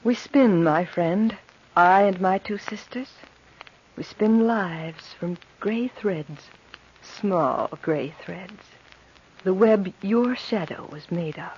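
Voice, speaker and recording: Lady doing spooky fate spinner spirit, slow creepy murmur, old radio horror, muffled sound. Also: medium pitch, a little mellow, slight background noise, natural voice. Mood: eerie, inviting. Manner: measured, ominous, breathy murmuring. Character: eerie, serene female supernatural spinner of human fates. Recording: dull old radio recording.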